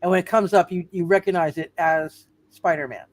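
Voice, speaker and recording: A woman running an improvised tabletop roleplay as game master, halting and often repeating phrases as she narrates. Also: lowish voice, fairly even intonation.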